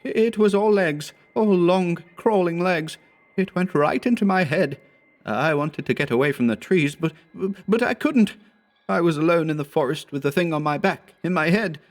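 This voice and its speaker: A man voicing a terrified, delirious young man who babbles in a shrill, sobbing voice, in a horror story reading. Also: normal speed, close to an everyday voice. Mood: terrified babbling.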